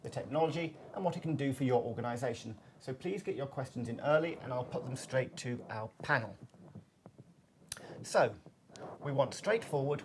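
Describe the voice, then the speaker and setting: A man presents as the host of a business web seminar. His mid-pitched voice delivers scripted introductions and questions, with some noise behind it.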